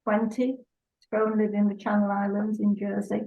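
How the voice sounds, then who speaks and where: An older woman from Salford, England, chatting as a guest in an unhurried way with hesitant fillers. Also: medium pitch, completely flat delivery. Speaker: guest artist. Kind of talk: relaxed interview chat. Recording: dull lo-fi sound.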